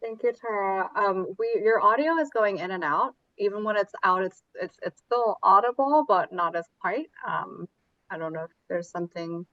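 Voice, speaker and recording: A woman's voice: medium pitch, expressive, moderate pace, fairly bright tone, no room sound. Dry, dull-sounding woman moderator. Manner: brief, polite handoffs. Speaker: panel moderator.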